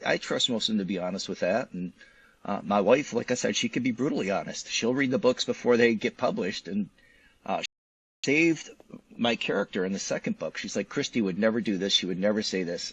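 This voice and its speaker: A man speaking as an interview guest in casual conversation, explaining details in an animated, colloquial way. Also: lowish voice, brisk, slightly rough, dry recording, rough recording. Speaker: guest, physician and novelist.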